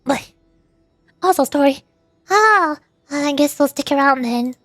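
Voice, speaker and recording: A woman with a high voice chats casually on a game livestream. She muses and questions herself aloud as she goes, with music playing faintly behind her. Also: expressive, measured pace, a bit bright, no room sound. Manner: musing, often questioning aloud. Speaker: VTuber game streamer.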